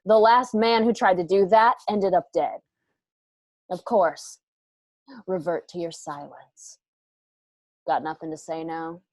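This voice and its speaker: A woman's voice: fairly low pitch, steady tone, takes it slowly, long pauses, a little mellow, no echo. A woman playing a guarded, fierce frontier woman in a Western radio play, with blunt, rustic speech. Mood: wounded, defiant. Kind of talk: online radio play acting.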